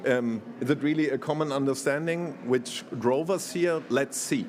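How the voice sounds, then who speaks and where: A man from Germany giving a conference presentation, halting and full of restarts, laughing easily. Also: mid-range voice, a little mellow. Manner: hesitant, many fillers and restarts, laughs easily. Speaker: conference speaker.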